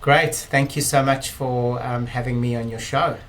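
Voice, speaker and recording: A South African man in his fifties, a physiotherapist guest in an interview, explaining in a measured way with frequent ums and restarts. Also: mid-range voice, fairly even intonation, fairly bright tone, a little hiss or hum. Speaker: guest, clinic director. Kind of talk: interview talk.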